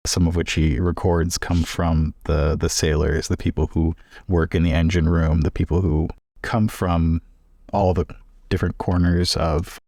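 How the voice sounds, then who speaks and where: A man's voice: low voice, little pitch movement, a little slow, darkish tone, no echo, studio quality. A man playing a tabletop game, casually describing what his character does.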